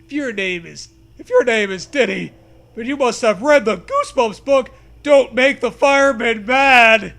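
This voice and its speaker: A man voicing a suspicious, indignant ghost horse in a loud, emphatic, declaiming way for a comic story reading. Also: very high voice, measured pace, gravelly, voice pushed up, heavily acted.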